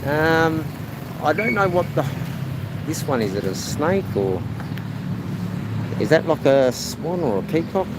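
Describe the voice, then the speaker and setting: Aussie bloke streaming from a busy roadside, loud voice, rambly, calls everyone guys, lots of traffic noise. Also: male voice, fairly high pitch, big pitch swings, measured pace, long pauses. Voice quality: loud, projecting voice. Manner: chatty, rambling, addresses viewers as guys. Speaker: live-stream vlogger. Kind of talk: casual walking live stream. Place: outdoors on a busy rural roadside. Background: loud traffic and motorbike noise.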